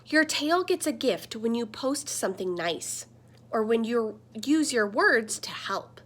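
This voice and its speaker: A woman voicing a friendly, earnest talking computer screen that gently scolds, in a children's picture book. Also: fairly high pitch, animated, a little slow, a little hiss or hum. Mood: earnest, warning. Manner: earnest, explanatory.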